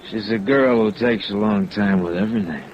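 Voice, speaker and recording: Man playing a hostile tipsy suspect in an old radio play, low voice, grudging, dull hissy recording. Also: fairly quick, natural voice. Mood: evasive. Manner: surly, evasive, grudging. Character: big surly guarded male suspect, tipsy and hostile. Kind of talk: 1950s radio drama acting.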